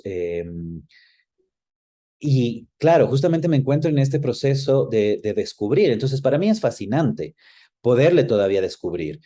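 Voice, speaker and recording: Ecuadorian man, middle-aged, soft-edged mellow timbre on the low side, reflective guest searching for his words. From Quito, Ecuador. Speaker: interview guest. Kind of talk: reflective conversational reminiscence.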